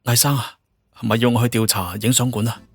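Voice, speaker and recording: A man acts out a dutiful male cop with a mid-range voice. He is respectful and eager, over soft music in an audio drama style. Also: mid-range voice, fairly even intonation, fast, husky, dry recording, pitched below the performer's own voice. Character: earnest, deferential male police officer, eager to act.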